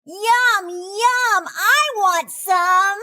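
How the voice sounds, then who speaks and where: A woman voicing a boisterous young boy shark in a storybook, excitable and drawing out words. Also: very high-pitched, extremely slow, pitched above the performer's own voice, exaggerated voice. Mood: eager, excited. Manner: exclaiming, dragging out words.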